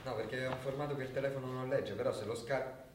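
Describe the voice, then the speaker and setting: An actor playing a helpful, modest, tech-savvy assistant in a radio play, a little hesitant. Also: male voice, medium pitch, steady tone, fast, natural voice. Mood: obliging. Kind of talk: naturalistic Italian radio play acting. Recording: very echoey, distant, noisy radio theatre recording.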